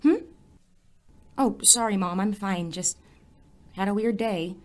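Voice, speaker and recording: An actress in an audio drama playing a skeptical, cautious high school girl who argues back with dry sarcasm. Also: lightly acted. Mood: evasive, flustered. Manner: dry, incredulous, quick to argue.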